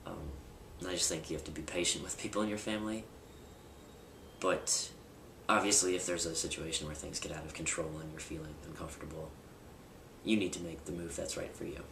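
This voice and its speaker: Young man, very low voice, candid rambling vlogger, roomy distant home-camera sound with noise. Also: fairly even intonation, a little fast, gravelly. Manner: candid, rambling with fillers and restarts. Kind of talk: casual personal vlog. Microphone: camera mic at a distance.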